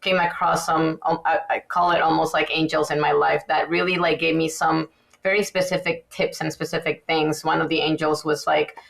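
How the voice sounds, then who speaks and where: Low creaky-voiced woman from Venezuela. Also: normal speed. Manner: chatty, many fillers and restarts, animated. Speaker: guest dentist. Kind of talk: casual podcast interview.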